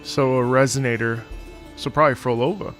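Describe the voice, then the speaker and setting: A man's voice: medium pitch, steady tone, a little fast, a bit bright. A man streaming a video game, chatting casually in a rambling way with some mild swearing. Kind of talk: casual livestream commentary, reading game text aloud. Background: game music.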